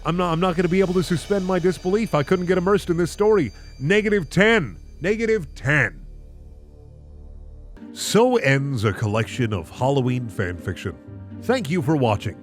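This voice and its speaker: Animated higher-voiced man reading bad fan fiction. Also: normal speed, soft background music. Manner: incredulous, sardonic asides. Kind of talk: comedic narration with sarcastic commentary.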